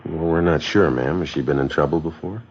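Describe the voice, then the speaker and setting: An American actor playing a terse, businesslike police sergeant in a 1950s radio drama, clipped and matter-of-fact. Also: male voice, rumbling low, brisk. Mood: polite, businesslike. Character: terse, businesslike male police sergeant, narrating his case. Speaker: detective and narrator. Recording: dull vintage 1950s radio broadcast.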